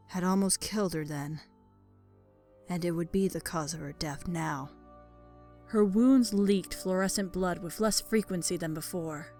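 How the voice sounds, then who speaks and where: A woman narrates a dark fantasy story from a script. Her voice is slightly low, with measured, dramatic delivery over faint music.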